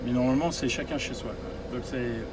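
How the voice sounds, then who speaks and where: A man's voice: mid-range voice, fairly even intonation, brisk, very noisy. A man explaining the controls of a coach to a group of drivers, practical and repeating his advice. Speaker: driving instructor presenting a coach. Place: inside a coach, outdoors nearby.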